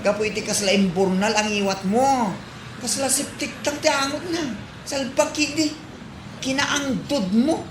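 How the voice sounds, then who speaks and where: A woman's voice: high voice, a little slow. A woman playing a blunt, fed-up village neighbour in a comedy drama, scolding and exclaiming. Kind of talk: Ilocano comedy drama acting. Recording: echoey room with background noise.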